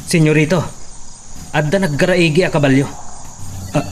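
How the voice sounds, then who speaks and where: A man's voice: fairly high pitch, steady tone, normal speed, lightly acted. A man acting an alarmed, respectful farmhand in Ilocano-accented radio drama, with faint noise behind. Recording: faint background noise, insects.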